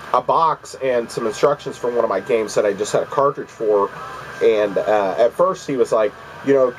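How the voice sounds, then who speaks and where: A man's voice: mid-range voice, slight background noise, poor audio. A man with a congested voice, rambling casually with lots of ums as he vlogs about his video game pickups at home. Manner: rambling, many ums and restarts. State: congested from allergies. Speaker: video game collecting vlogger.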